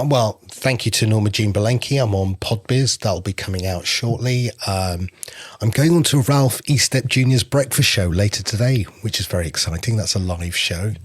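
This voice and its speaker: A man with a slightly husky voice, chatting casually on a podcast as he explains new app features step by step. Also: on the low side, no room sound, clean studio sound. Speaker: podcast app founder and co-host.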